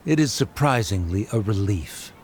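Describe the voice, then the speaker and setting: A man's voice: fairly low pitch, expressive, moderate pace, darkish tone, a little hiss or hum, natural voice. A man voicing a proud, disdainful scholarly father in a video game, haughty and formal. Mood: scornful, relenting. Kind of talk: video game dramatic acting.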